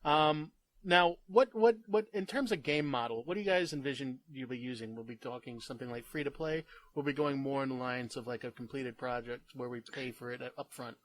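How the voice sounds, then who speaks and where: A man's voice: medium pitch, brisk, dry recording, low-quality recording. A man hosting a gaming channel, opening with an upbeat greeting and interviewing a guest with eager reactions.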